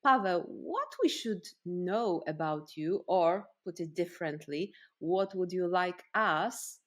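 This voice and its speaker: A woman's voice: lowish voice, expressive, slow pace. A woman with a Polish accent hosting a conversational interview in a friendly, playful way. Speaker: podcast host.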